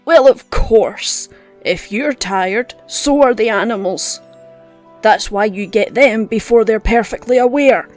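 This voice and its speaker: An actress playing a gruff, scolding old woman who teaches hunting with blunt scorn in an audio drama. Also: on the high side, takes it slowly. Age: older. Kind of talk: audio roleplay drama acting. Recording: music under the voice.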